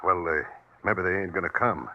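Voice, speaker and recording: An actor playing a jumpy outlaw henchman with a rough, raspy voice and folksy western talk, in 1950s radio drama style. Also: low voice, normal speed, natural voice. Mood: edgy. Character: jumpy outlaw henchman reporting to his boss. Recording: dull old radio recording.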